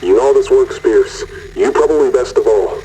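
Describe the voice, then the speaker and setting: An actor playing a weary, candid corporate mission controller, husky, in a radio message that mixes official briefing with wry confiding, in a sci-fi audio drama. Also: male voice, mid-range voice, lively intonation, normal speed, warm tone, slight background noise, rough recording. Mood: confiding, exasperated.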